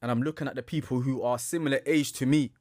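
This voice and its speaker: A man's voice: mid-range voice, fairly even intonation, fast, darkish tone, dry recording, studio quality. A man from Somalia, explaining himself casually as a podcast guest. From Somalia, moved to UK at eight. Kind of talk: casual explanatory talk about own life.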